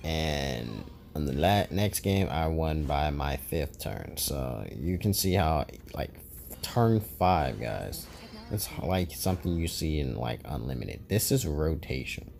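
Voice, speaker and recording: Gaming YouTuber, male, deep dark voice, laid-back rambling commentary. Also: slow pace, vocal fry, slight background noise. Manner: relaxed, rambling, self-correcting. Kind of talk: casual gameplay commentary.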